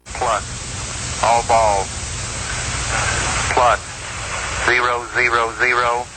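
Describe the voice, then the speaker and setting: A man's voice: mid-range voice, flat delivery, extremely slow, over music. A man giving clipped launch callouts and number readouts on archival mission control audio. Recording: noisy archival radio audio.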